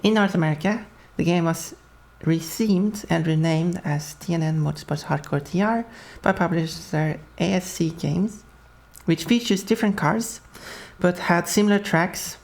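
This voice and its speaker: Low-voiced Swedish woman streaming a racing game. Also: moderate pace, gravelly, darkish tone. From Sweden. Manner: chatty, laughs often, talks to chat while racing. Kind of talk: casual gameplay commentary.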